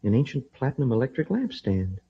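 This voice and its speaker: A man's voice: medium pitch, very animated, fairly quick, slightly rough. An actor playing an eager, respectful young male cadet in a 1950s science fiction radio serial. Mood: eager, awed. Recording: muffled old radio recording.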